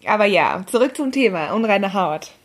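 Young female pharmacist-host, hoarse and scratchy, casual explainer with fillers. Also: mid-range voice, expressive, moderate pace. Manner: friendly, explains step by step with fillers. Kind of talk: casual explanatory podcast talk.

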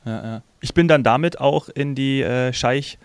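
A man from Hesse hosting a travel podcast, chatting casually and telling a lively story in which he acts out his own thoughts. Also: medium pitch, unhurried, clear, resonant tone, no room sound. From Hesse, Germany. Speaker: podcast host.

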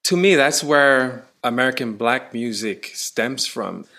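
A man from Canada, a touring musician, chatting in an interview and telling stories with rising excitement. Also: medium pitch, measured pace. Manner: animated storyteller, voice rising when excited. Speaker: musician guest. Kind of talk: casual interview.

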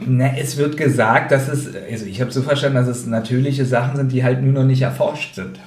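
A man's voice: medium pitch, steady tone, fairly quick, darkish tone. A man chatting casually as a podcast co-host. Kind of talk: casual episode discussion. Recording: roomy echo, slight background noise.

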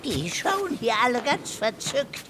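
A cheeky rhyming companion in a children's radio play. The voice is high and very slow, with playful singsong lines over background noise. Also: female voice, very animated. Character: cheeky companion who speaks in rhymes. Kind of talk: children's audio drama acting.

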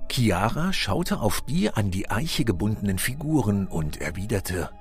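A male narrator reads a fairy tale in a scripted storybook style. His voice is on the low side with expressive, lively intonation, and music plays behind him. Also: a little slow.

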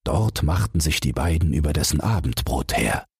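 A man narrating a bedtime fairy tale as an audiobook reader, with measured, expressive storytelling. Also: a bass voice, creaky, slightly rough, dry recording.

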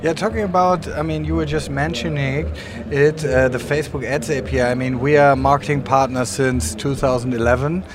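A man interviewing in a conversational but halting way, often restarting. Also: medium pitch, steady tone, a crowd in the background. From Germany. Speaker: podcast interviewer. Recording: clear background event noise.

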